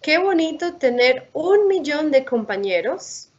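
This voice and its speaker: A woman with a fairly high voice reads a picture book to young children. Her pitch moves in lively swings, and the sound is dull and lo-fi, as on a video call. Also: moderate pace. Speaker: storybook reader. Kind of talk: children's picture book read-aloud in Spanish. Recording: muffled, lo-fi video call audio.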